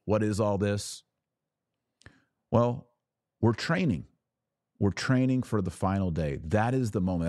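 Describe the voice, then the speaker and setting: A man hosting a podcast, giving a religious teaching monologue in an emphatic, deliberate way with dramatic pauses and rhetorical questions. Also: deep voice, dark tone, dry recording, clean studio sound. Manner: didactic, preacher-like rhetorical questions. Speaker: podcast host.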